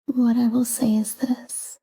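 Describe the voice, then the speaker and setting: A woman leads a sleep talk-down in a very dark, mellow voice. She speaks softly and breathily, close to a whisper, and keeps an even, calm delivery. Her short phrases are unhurried, with space left between them. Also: a little high, husky, low-quality recording. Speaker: sleep meditation guide. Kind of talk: soft guided sleep meditation, whispered talk-down.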